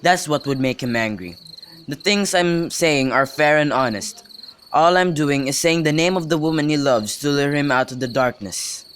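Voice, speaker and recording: A man's voice: fairly high pitch, normal speed, fairly bright tone, close to an everyday voice. A young man playing a bawdy, mock-grand friend in an amateur Shakespeare radio drama, with theatrical, teasing delivery. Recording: noisy home recording with clicks.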